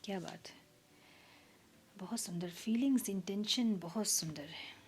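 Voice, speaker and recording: A slightly hoarse woman talks her viewers through a tarot reading in a relaxed, chatty way. Her voice sits in a middle range and sometimes sinks to a soft near-whisper. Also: medium pitch, a little slow, a little hiss or hum. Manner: soft, mixing Hindi and English, drops to near-whispers while shuffling. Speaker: tarot reader. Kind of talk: casual tarot reading monologue.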